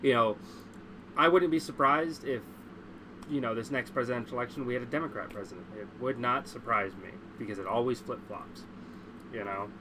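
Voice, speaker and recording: A man's voice: mid-range voice, brisk, background noise. A congested man chatting casually as a livestream host, blunt, opinionated and prone to swearing.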